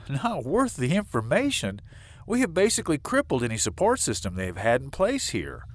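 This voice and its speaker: An actor playing a plain-spoken, hot-headed but fair sheriff in an audio drama, blunt and informal. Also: male voice, medium pitch, wildly swooping pitch, normal speed. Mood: combative.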